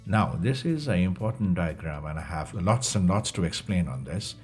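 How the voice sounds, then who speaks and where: A man presenting a tutorial over slides, explaining step by step in a halting way with repetition. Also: deep voice, animated, a little mellow, slightly rough, soft background music. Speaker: instructor presenting a DIY electronics project.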